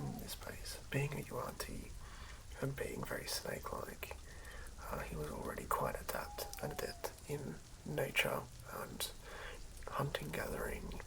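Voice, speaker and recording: A person whispering softly in an ASMR style, narrating a tabletop character build in a breathy, creaky hush. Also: medium pitch, wildly swooping pitch, unhurried, low-quality recording. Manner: hushed whispering. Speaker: ASMR creator.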